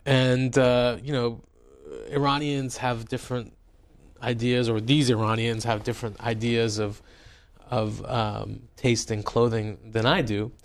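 A man's voice: mid-range voice, little pitch movement, unhurried. A man, a journalist and author, recounting his experiences in a wry, conversational way with many fillers and restarts. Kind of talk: interview, personal storytelling.

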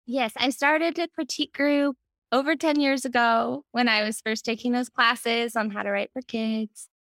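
A woman's voice: on the high side, steady tone, moderate pace, a bit bright, clear, resonant tone, dry recording. Woman guest in a dry, close recording. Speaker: podcast guest, book editor. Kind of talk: casual interview conversation.

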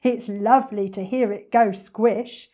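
A woman voicing an enormous, boastful, greedy male crocodile in a children's storybook, gloating and savouring the words with slow menace. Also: medium pitch, steady tone, warm tone, lightly acted. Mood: gleeful, boastful. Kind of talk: dramatic children's storybook reading.

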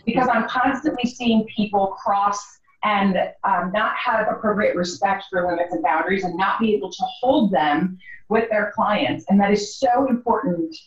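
A woman's voice: mid-range voice, moderate pace. A woman guest on an interview, a former therapist turned coach, talking in a direct, no-nonsense way with a strong voice. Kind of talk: conversational interview. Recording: roomy, distant, dull sound.

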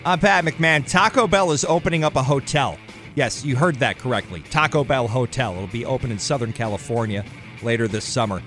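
A man's voice: medium pitch, a little fast, fairly bright tone, faint music behind. A man speaking as a radio host, trading jokes and exclamations in a wisecracking, bantering manner.

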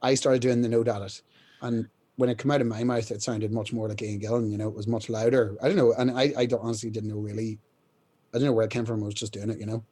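Young male rock singer, mellow husky speaking voice, casual, rambling. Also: lowish voice, level tone, fast talker. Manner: rambling, many fillers and restarts, clears throat often. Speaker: band singer, interview guest. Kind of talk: casual storytelling interview.

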